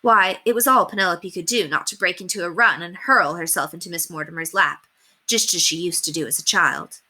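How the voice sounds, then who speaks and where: A woman reading a children's novel aloud in an expressive, carefully enunciated storytelling manner. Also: lowish voice, fairly quick. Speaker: teacher reading a book aloud.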